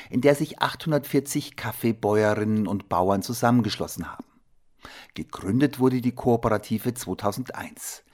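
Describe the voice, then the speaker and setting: A male radio host reads his scripted introduction in a calm, measured way. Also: fairly low pitch.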